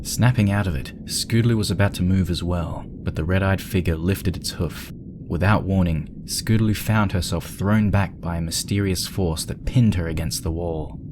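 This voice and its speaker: A man's voice: sits low, moderate pace, background noise. A man working as narrator, reading a scripted horror story dramatically.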